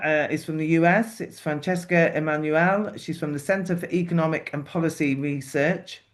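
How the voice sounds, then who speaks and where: A man chairing a political rally, thanking speakers and introducing the next one in an appreciative way with fillers. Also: a little high.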